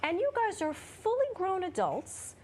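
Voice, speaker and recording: Woman in her 50s, TV host, lively swooping voice, bubbly, faint background noise. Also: very high-pitched, unhurried. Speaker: morning show host. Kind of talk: upbeat TV talk interview.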